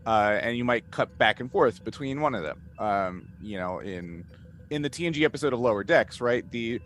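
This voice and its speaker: Halting man thinking aloud, noisy recording. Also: mid-range voice, expressive, a bit bright. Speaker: podcast cast member and game master. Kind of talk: casual panel chat answering fan questions. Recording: noticeable background noise.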